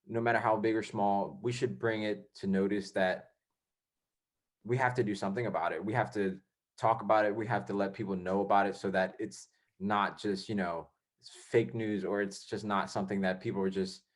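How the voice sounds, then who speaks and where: Low-voiced young man hosting a podcast. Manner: rambling, lots of fillers and restarts. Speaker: podcast host. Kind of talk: casual podcast chat.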